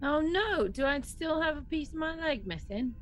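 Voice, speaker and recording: A woman's voice: high-pitched, lively intonation, moderate pace. A woman chatting casually as a player in a tabletop role-playing game, thinking aloud and reacting readily.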